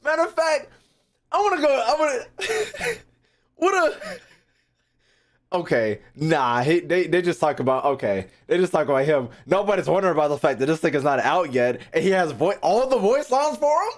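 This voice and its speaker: Male streamer, American, higher-than-average voice with very lively swings in pitch; brash, sweary, repetitive banter with chat. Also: moderate pace. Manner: repeats phrases for emphasis. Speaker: streamer hosting a reaction video. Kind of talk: casual livestream chat to viewers.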